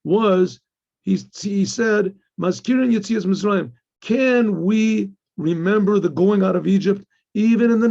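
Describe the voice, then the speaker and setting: A man, a rabbi with a husky, gravelly voice and frequent throat-clearing, gives a religious lesson, reading and explaining a text haltingly with repeated phrases and rhetorical questions. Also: sits high, a little mellow. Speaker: rabbi teaching. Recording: dull, lo-fi sound.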